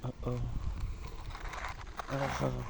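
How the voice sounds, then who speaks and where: Slow, flat narrator over very loud noise. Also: medium pitch, gravelly. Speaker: toy video narrator. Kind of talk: simple play-along commentary for kids. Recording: very noisy recording with clicking sounds.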